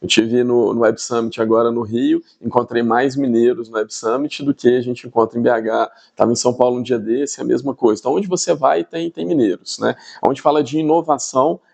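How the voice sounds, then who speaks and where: Gravelly Brazilian man with a Minas accent. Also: medium pitch, fairly even intonation, a little fast, few pauses, a little mellow. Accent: Brazilian, Minas Gerais. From Espírito Santo, Brazil. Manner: explanatory, with frequent tag questions. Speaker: podcast guest, tech entrepreneur. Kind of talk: conversational interview.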